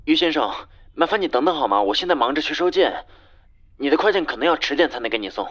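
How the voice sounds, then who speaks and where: A man playing a gentle, polite and slightly teasing young courier in an audio drama, soft-spoken and courteous. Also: a little high, lively intonation, fast, dull audio. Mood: apologetic, courteous. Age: young adult. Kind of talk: Chinese audio drama acting. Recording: music under the voice. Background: music.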